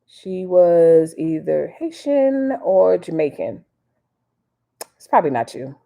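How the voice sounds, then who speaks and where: Low-voiced American woman chatting live. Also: big pitch swings, slow pace, vocal fry, mellow. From Miami, United States. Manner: rambling, reads and answers viewer comments; informal, folksy slang. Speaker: livestream host. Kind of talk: casual live chat with commentary.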